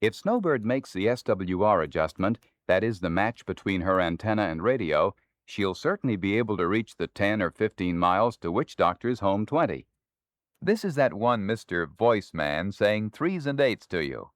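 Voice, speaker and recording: A man's voice: on the low side, animated, normal speed, no echo, studio quality. A man with an American accent narrating scripted instruction in an explanatory announcer's manner.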